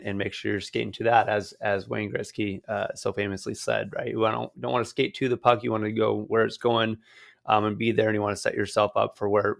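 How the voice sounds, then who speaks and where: A low-pitched, gravelly man talks through a solo podcast, his voice hoarse and not fully back. He rambles casually, pausing on fillers and restarting sentences. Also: fairly bright tone. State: hoarse, recovering voice. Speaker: podcast host.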